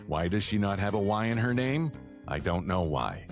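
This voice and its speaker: Man, lowish voice, animated intonation, conversational ad read, wry asides, music behind. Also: moderate pace. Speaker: podcast sponsor announcer.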